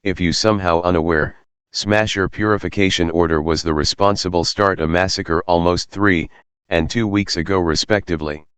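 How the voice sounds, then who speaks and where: A male announcer delivers a scripted emergency bulletin in a formal manner. His voice is very deep and clean, with a close, dry sound free of room echo. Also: steady tone, normal speed, a bit bright, clear, resonant tone. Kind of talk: scripted mock emergency broadcast.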